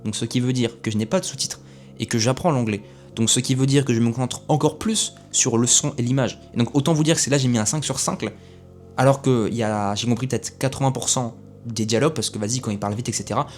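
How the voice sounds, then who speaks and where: Young male reviewer, quick chatty delivery, rambling with filler words, light music bed. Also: mid-range voice, darkish tone. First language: French. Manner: rambling, lots of fillers and restarts. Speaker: film reviewer. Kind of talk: casual film review.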